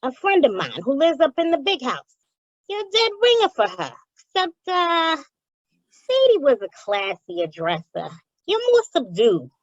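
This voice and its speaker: A woman's voice: high voice, huge pitch swings, unhurried, dry recording, lo-fi sound, heavily acted. A woman playing a slangy, wisecracking, streetwise and wary underworld informant in old-time radio crime comedy style. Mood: sly, wary. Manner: slangy, wisecracking gangland talk.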